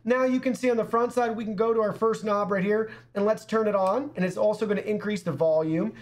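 A man's voice: high voice, steady tone, fairly quick. A young man presenting a product review in an upbeat, presenterly way in a small studio. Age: young adult. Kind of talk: scripted product review to camera.

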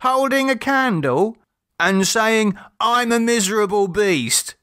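A man's voice: high voice, lively intonation, slow, a bit bright, clear, resonant tone, dry recording, studio quality, voice pushed up, full character voice. A man voicing stern, unforgiving children with solemn, demanding manner in a storybook reading. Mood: pitiless, demanding. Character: stern, unforgiving children with inexorable cold logic.

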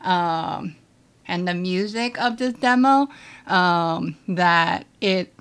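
A young woman reacts to a game video from her small bedroom setup. She speaks slowly, pausing often with fillers. She sounds relaxed and unhurried, recorded through an earphone mic. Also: fairly low pitch, smooth. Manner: relaxed, hesitant, many fillers. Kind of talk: casual reaction chat.

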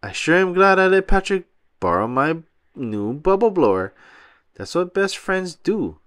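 A man voicing an excitable, fussy, anxious cartoon sea sponge in a children's storybook, eager and earnest. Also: high-pitched, a little slow, no room sound, studio quality, voice pushed up, heavily acted.

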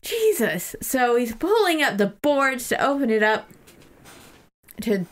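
A woman's voice: fairly high pitch, big pitch swings, unhurried. Irreverent woman chatting about books. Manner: irreverent, swears freely. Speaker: podcast co-host. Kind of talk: casual book-discussion chat.

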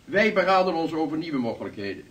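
A man's voice: fairly high pitch, sing-song, fast talker, fairly bright tone, dull audio. An actor in a Dutch radio drama playing a dignified, authoritative chairman with a formal, stately delivery. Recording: distant from the microphone.

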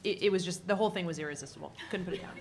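A woman book editor on a conference panel, talking quickly and enthusiastically. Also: on the low side, a little mellow, slight background noise. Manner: rapid, enthusiastic.

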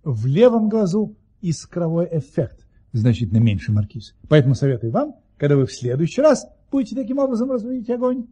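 A self-important old-world baron in a radio play. His voice is mellow and somewhat high, with lively swings. He sounds courtly and genial, pedantically sure of his tall tales. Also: brisk, slightly rough, heavily acted. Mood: pedantic, assured. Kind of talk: theatrical radio play acting.

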